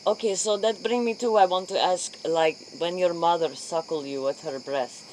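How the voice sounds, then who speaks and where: A woman's voice: fairly low pitch, normal speed, darkish tone, natural voice, poor audio. Woman acting as curious late-night interviewer, broken English, earnest rambling questions, spoof role play, light insect noise. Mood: probing, earnest. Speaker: interview host.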